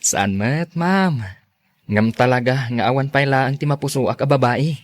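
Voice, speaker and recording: A man's voice: mid-range voice, measured pace, natural voice. A man with an Ilocano Filipino accent playing a polite, deferential young driver in an audio drama. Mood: polite, bashful. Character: polite, single 28-year-old male personal driver.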